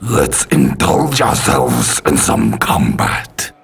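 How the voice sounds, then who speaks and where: A man voicing Satan as a sneering male devil lord, speaking slowly with contempt. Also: wildly swooping pitch, background noise, full character voice. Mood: gleeful. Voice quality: deep gravelly growl. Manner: slow, contemptuous.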